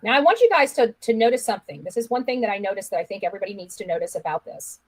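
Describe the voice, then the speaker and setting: A woman's voice: mid-range voice, animated, very fast. A woman giving opinionated live-stream commentary in an accusatory, insistent manner, repeating phrases for emphasis. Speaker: online commentator.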